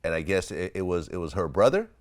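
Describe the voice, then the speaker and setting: A man working as an interviewer, asking questions casually and piecing them together in a halting way. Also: deep voice, expressive.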